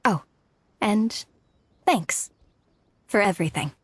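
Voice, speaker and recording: A woman's voice: mid-range voice, expressive, slow, husky, no echo. A woman playing a wistful, self-doubting young working woman in an anime game, hesitant in manner. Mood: grateful. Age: young adult. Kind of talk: anime video game voice acting. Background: faint background music.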